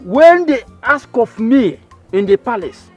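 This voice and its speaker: A man's voice: very high voice, very animated, measured pace, darkish tone, muffled recording, soft background music, full character voice. A man playing an older village chief who scolds and talks evasively. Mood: agitated.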